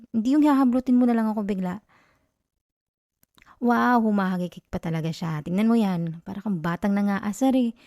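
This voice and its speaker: An affectionate young Filipino girlfriend, played by a woman, speaks in a soft, mellow voice. She is tender and coaxing, with a playful scolding streak. The ASMR roleplay sounds intimate and very dry, with no room around her words. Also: a little high, no room sound. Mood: playfully flustered. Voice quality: soft, gentle.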